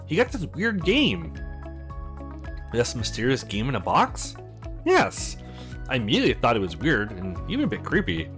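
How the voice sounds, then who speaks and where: A man's voice: mid-range voice, wildly swooping pitch, normal speed, long pauses, a bit bright, soft background music. A man hosting a let's play, reading visual novel dialogue aloud, acting out lines and adding casual commentary. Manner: acts out characters' lines with exclamations.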